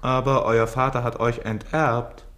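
An actor in a German radio play playing a cunning, brazen servant, roguish and mocking, with a sly theatrical delivery. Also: male voice, medium pitch, little pitch movement, measured pace, a bit bright, lightly acted. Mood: sly, persuasive.

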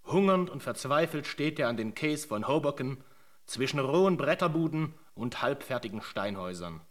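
A man narrating a German radio drama as a solemn chronicler, in measured, scripted literary sentences. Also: mid-range voice, expressive, natural voice. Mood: grave. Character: solemn male chronicler of an emigrant's tale.

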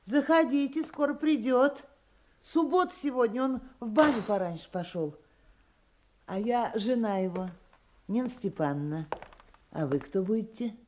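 A hospitable housewife in a naturalistic radio play. She is plain-spoken and homely, with a dark, soft timbre over faint background noise. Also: fairly high pitch, lively intonation, a little slow.

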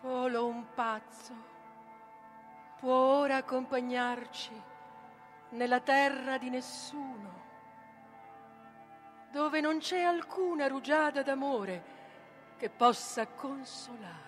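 A woman plays a forsaken figure from Greek tragedy. The voice is high and very slow, declaiming poetry in stretched-out, desolate words with music underneath. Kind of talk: tragic poetic theatrical recitation.

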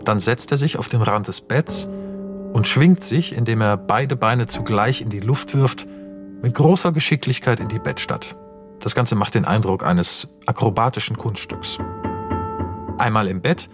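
Matter-of-fact male narrator, medium pitch. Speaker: narrator reading stage directions. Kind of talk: scripted narration in a German radio play.

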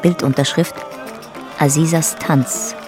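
An actress as a sober documentary-style narrator of a radio drama, speaking in clipped, factual phrases. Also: female voice, deep voice, steady tone, takes it slowly, music in the background.

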